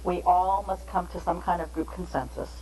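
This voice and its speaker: A woman giving a measured, explanatory training lecture on interpreting, pausing between phrases. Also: fairly low pitch, fairly even intonation, mellow, a little hiss or hum, rough recording.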